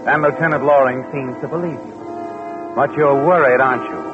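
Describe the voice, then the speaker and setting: A man's voice: medium pitch, normal speed, close to an everyday voice. An actor playing a knowing, sinister omniscient narrator of a 1940s radio mystery, taunting a guilty man. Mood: sly, taunting. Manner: knowing, ominous, addressing listener as the culprit. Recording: old radio recording, narrow band, music behind.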